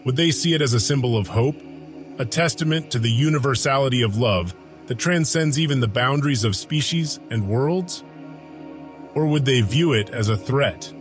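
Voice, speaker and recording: A man acts out an ancient alien god, brooding and lovestruck, in an audiodrama. His medium-pitched male voice is solemn and formal, with measured phrases and weighty pauses. Music plays behind him as he narrates in the first person. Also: lightly acted.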